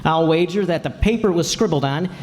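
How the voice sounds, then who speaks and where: A man's voice: fairly high pitch, fast talker, darkish tone, natural voice. A man playing a shrewd, no-nonsense insurance investigator in a radio play, blunt and insistent. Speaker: amateur radio drama player. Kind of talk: old-time radio play acting. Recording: reverberant hall, stage performance.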